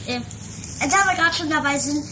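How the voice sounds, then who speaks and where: A woman's voice: high voice, fairly quick, close to an everyday voice. A woman playing an awkward, defiant teenage boy in an improvised comedy radio play, with hesitant replies. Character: awkward, defiant sixteen-year-old son in a comedy. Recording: very noisy amateur 1980s tape.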